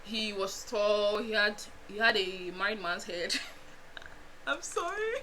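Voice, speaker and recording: A young Nigerian woman with a Nigerian accent, chatting casually to her viewers in an animated storytime vlog, laughing often and making asides. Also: mid-range voice, slow pace, slight background noise, poor audio. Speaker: vlogger.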